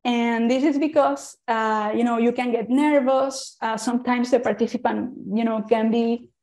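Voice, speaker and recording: A woman from Venezuela speaks English with a Venezuelan Spanish accent. Her voice sits fairly high and has a warm, mellow tone. She presents earnestly and informally, often restarting and repeating words as she goes. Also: fairly even intonation, normal speed. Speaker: speaker on usability testing.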